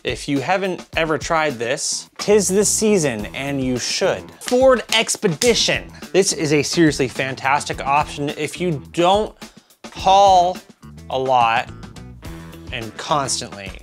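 A man in his thirties hosting a casual car review to camera, with a loose, tipsy delivery full of stumbles and drawn-out words. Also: a little high, big pitch swings. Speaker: host of a car review show. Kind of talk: casual scripted-list review to camera with asides. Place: small home office room with computer monitors. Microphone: lavalier clipped to shirt. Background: music.

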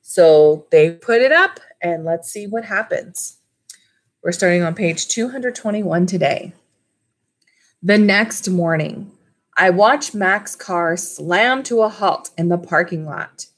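A woman in her thirties with a low-pitched voice reads a story aloud for schoolchildren. She narrates like a school librarian and slips into casual asides to her listeners. She is recorded at desk distance in a small room. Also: expressive, slow. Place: small wood-panelled room at home. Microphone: webcam or laptop at desk distance.

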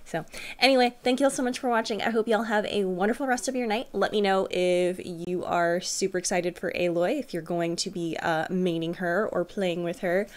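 A woman's voice: fairly low pitch, fairly even intonation, normal speed. A young adult woman giving casual, rambling reaction commentary to her viewers as a streamer at home. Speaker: streamer, reaction video host. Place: small furnished room at home.

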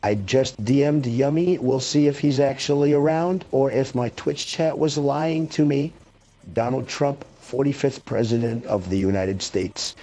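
A man's voice: medium pitch, a little slow, dark tone, lo-fi sound. A man voicing a bragging, petulant caricature of an American ex-president through an AI voice changer, bickering and swearing on a livestream. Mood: demanding, aggrieved. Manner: boastful, petulant, foul-mouthed bickering.

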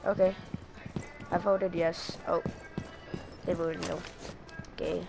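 A woman's voice: lowish voice, little pitch movement, slow pace, vocal fry, over music. A young woman chatting casually and teasing a friend while playing a game, laughing often. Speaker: gamer streaming with a friend. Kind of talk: casual gameplay chat.

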